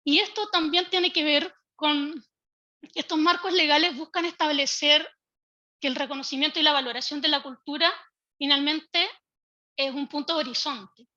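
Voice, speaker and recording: A woman giving a measured, explanatory talk as a speaker from a public early-education institution. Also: high voice, fairly even intonation, pushed and bright, dry recording, muffled recording. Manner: measured, explanatory, occasional restarts. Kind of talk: online panel talk.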